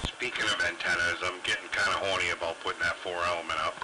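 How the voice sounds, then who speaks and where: A man with a fairly low voice chats over CB radio. His talk is casual, blunt and salty. Very loud radio noise covers the transmission. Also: fairly even intonation, quick pace. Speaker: CB radio operator.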